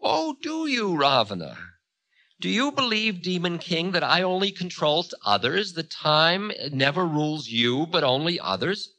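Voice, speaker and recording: A man's voice: fairly high pitch, sing-song, a little slow, bright, forceful tone, pitched above the performer's own voice, full character voice. A man voicing Time as a cruel, indignant spirit of doom in a dramatic telling of a myth. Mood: taunting. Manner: indignant, ominous pronouncements. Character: Time personified, cruel, prim, menacing male spirit of doom. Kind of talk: dramatic mythological storytelling.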